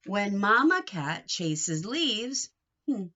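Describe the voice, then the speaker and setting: A woman reading a picture book aloud to young children in an expressive, sing-song way, coaxing them with questions. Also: medium pitch, extremely slow, lo-fi sound.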